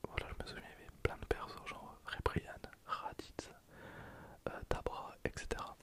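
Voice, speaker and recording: A person whispering softly in a casual, hesitant way while commenting on their own gameplay for ASMR. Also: normal speed. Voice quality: soft unvoiced whisper. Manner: casual, hesitant, thinking aloud while playing. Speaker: ASMR gaming video creator.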